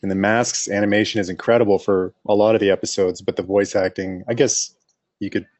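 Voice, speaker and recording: Low-voiced man in dry-sounding casual commentary. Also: steady tone, fairly quick, darkish tone, no room sound. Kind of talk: casual reaction commentary on a cartoon.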